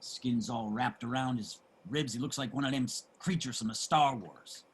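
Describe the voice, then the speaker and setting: A man playing a streetwise homeless man in a play reading, generous and opinionated, with salty, emphatic street talk and a husky voice. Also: medium pitch, moderate pace, lightly acted. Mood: scornful. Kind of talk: dramatic table reading of a stage play.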